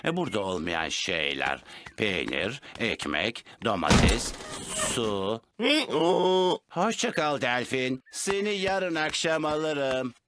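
A man voicing a courteous middle-aged French donkey father in a children's cartoon, measured and hesitant. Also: mid-range voice, expressive, background noise. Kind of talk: preschool cartoon dubbing.

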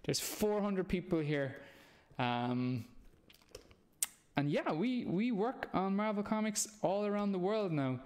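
A man's voice: high voice, lively intonation, takes it slowly, darkish tone. A man in his thirties with an Irish accent, a comic artist giving a chatty, encouraging live drawing lesson from home while he sketches. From Ireland. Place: small dim room at home, indoors.

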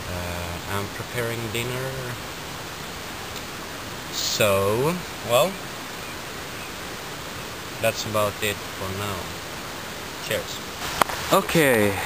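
A man's voice: on the low side, slow, pauses often. A young man vlogging from a forest camp on a handheld camera, talking hesitantly with many ums and uhs. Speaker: bushcraft challenge participant. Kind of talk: casual camp vlog. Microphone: handheld camera, self-filmed. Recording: hissy outdoor camera audio. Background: loud hiss of outdoor noise.

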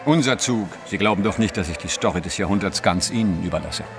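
An actor playing a confident, flamboyant French journalist with an emphatic manner, in a German audio drama. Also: male voice, sits low, fast talker, over music, natural voice. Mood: spirited. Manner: emphatic, self-assured.

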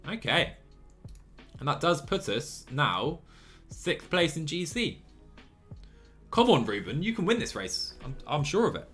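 A young man in his twenties streaming a cycling management game from a small room on a headset, chatty and excitable, laughing often while reading chat. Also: fairly high pitch, a little slow, long pauses, faint music behind. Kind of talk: live gameplay commentary and chat reading.

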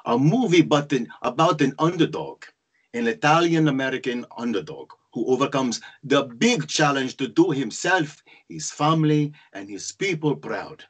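Man as exasperated movie-loving son, husky voice, animated pitch, theatrical monologue, table read. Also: normal speed. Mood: wistful, theatrical. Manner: expressive, theatrical storytelling. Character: adult Constantine, exasperated son reminiscing about his movie-loving boyhood. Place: indoors on a group video call.

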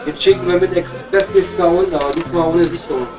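A man acts out a matey, womanising Ruhr coal miner in an audio comedy. The male voice is fairly high, colloquial and a bit halting, with a Ruhr German accent over clear background music. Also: steady tone, natural voice. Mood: grumbling. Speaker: comedy performer. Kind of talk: improvised audio comedy, casual banter.

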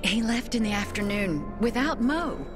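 A woman's voice: a little high, expressive, moderate pace, close to an everyday voice. An actress playing an anxious, protective mother in a video game, earnest and worried. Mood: uneasy, explaining. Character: anxious, protective mother pleading for her missing son. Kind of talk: video game drama acting. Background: music.

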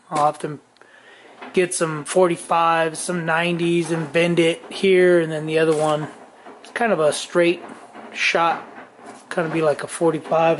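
Tired man in his forties, slow rambling talk, garage workshop, on-camera mic, faint background hum. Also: a little high. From Southern California. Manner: rambling, trails off, lots of ums and self-corrections. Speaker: garage vlogger. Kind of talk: casual rambling chat to camera. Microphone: on-camera mic, camera at arm's length and on bench.

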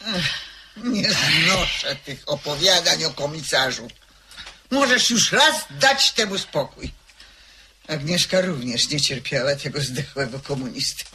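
A woman's voice: low voice, very animated, a little slow, background noise. A woman playing a haughty, condescending lady of the manor in a radio drama. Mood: scornful. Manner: sharp, condescending.

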